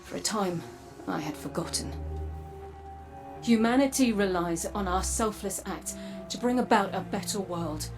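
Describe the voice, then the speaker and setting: An actress playing a resolute, enigmatic female guide in a video game, earnest and deliberate. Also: mid-range voice, expressive, music in the background. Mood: grave, reflective. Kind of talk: video game narration, acted.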